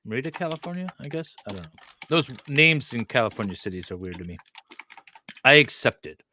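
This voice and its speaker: A man's voice: mid-range voice, sing-song, brisk, sharp tone, slight background noise. A man co-hosting a livestream, reading aloud casually and breaking off for joking asides. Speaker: co-host of a true-crime livestream.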